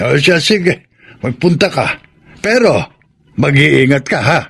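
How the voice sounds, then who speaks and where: An actor playing a kindly, cautioning old Filipino grandfather in a radio drama, with an aged, creaky voice. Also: fairly high pitch, lively intonation, slow, a bit bright, exaggerated voice. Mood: gentle, advising.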